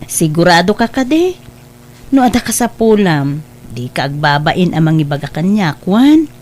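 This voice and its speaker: A woman playing a gentle, caring middle-aged aunt. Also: lowish voice, very animated, a little slow. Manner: gentle and encouraging. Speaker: voice actor. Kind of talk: family radio drama.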